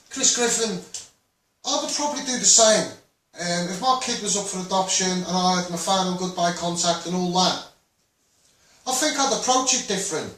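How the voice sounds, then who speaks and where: Scouse man, high-pitched voice, blunt rambling campaigner monologue, heavy echo, repetitive phrasing. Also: a bit bright, a little hiss or hum. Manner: blunt, rambling, repeating himself. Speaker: children's rights campaigner. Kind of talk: impassioned live monologue to online viewers. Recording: very echoey room, far from mic.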